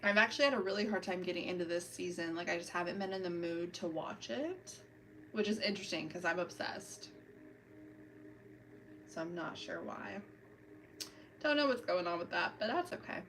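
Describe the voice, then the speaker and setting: A woman's voice: on the low side, normal speed, pauses often, fairly bright tone, far from the mic, rough recording. A woman chats away to her live viewers while she works on a manicure, talking through every step as she goes. Her voice sits a little off the mic. There is a faint hum of room noise behind her. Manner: chatty, self-narrating, talks to chat while working. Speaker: livestream host doing nail art. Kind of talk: casual chat with live viewers. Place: home, doing a manicure.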